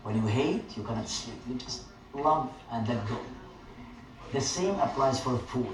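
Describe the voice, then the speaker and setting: A middle-aged man from Syria, a restaurant owner and teacher talking earnestly and reflectively in an interview, with filler pauses. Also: medium pitch, animated. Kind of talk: personal interview storytelling. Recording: roomy, distant, noisy ambience.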